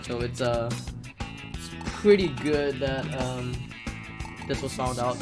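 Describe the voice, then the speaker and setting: Someone doing casual speedrun commentary while playing, excitable and prone to playful exclamations and acted-out reactions. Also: medium pitch, slow, over loud music.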